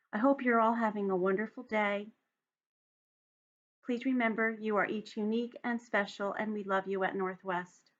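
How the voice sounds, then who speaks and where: A woman speaking as a teacher at storytime, addressing young children directly with expressive, careful delivery. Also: fairly high pitch. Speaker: teacher reading a story to students. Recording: dull, lo-fi home recording.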